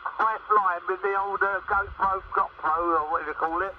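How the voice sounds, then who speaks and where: A man's voice: high voice, steady tone, moderate pace. A man bantering casually over CB radio, with a teasing manner. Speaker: CB radio operator. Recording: distant, muffled CB radio with loud static.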